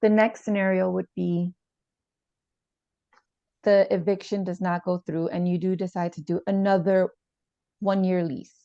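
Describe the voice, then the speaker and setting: A woman playing a calm, supportive family therapist, speaking in a reassuring, slightly hesitant way in a role-played session. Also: fairly low pitch, a little slow, long pauses. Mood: patient, encouraging. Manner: reassuring, hesitant, repeats gently. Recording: echoey room, slightly dull sound.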